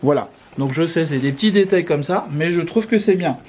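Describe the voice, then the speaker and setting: A man's voice: a little high, animated, fairly quick, a little mellow, a little hiss or hum. A man vlogger chatting casually as he walks through a hotel room, friendly and full of filler sounds. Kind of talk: casual walkthrough commentary. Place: indoors in a hotel, walking through corridors and a room.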